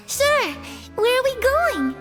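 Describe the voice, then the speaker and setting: Eerie sheltered little girl from a game, soft dreamy n slow, lots of reverb, faint music. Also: very high-pitched, full character voice. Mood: delighted. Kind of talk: video game voice acting.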